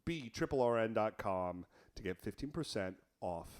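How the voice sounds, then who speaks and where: A man's voice: lowish voice, expressive, moderate pace, a little mellow. A young man co-hosting a comedy podcast, riffing playfully and reading sponsor lines between crude jokes. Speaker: podcast co-host. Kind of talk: casual comedic chat.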